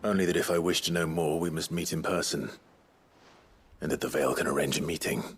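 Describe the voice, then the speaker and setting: An actor playing a weary, resolute young outlaw leader in a dramatic video game, speaking in a measured, grave, understated way. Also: male voice, extremely low pitch, a little hiss or hum. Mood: earnest.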